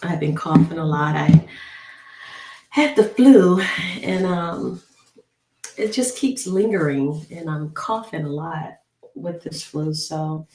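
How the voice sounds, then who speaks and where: A woman with a low, hoarse voice, worn from a lingering cough. She rambles through a personal story, full of ums and restarts. The room around her sounds echoey. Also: takes it slowly, pauses often, mellow. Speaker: travel nurse. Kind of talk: casual storytelling vlog.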